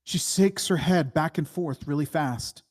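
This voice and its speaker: A man reading screenplay stage directions as scripted narration in a measured, matter-of-fact way. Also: a little high.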